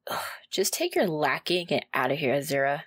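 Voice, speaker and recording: Woman as a lowish-voiced, teasing demon student. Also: sing-song, fairly quick, slightly rough, dry recording, clean studio sound, exaggerated voice. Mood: annoyed. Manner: casual, wry, teasing. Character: sardonic young female demon student, teasing and protective. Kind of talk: ASMR audio roleplay acting.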